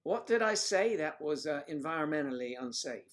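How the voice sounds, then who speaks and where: A man's voice: fairly high pitch, expressive, fairly quick, fairly bright tone. A man hosting a casual livestream, reading viewers' comments aloud and joking with them by name in a rambling, chatty way. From United States. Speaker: livestream host reading chat.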